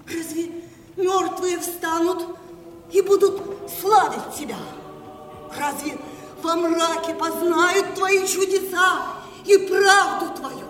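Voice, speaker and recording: An actress playing a grieving woman in a slow, chanted theatrical lament to God, solemn, imploring and drawn-out. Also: extremely high pitch, steady tone, a bit bright, slight background noise, heavily acted. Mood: mournful, imploring. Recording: distant, echoing hall with music.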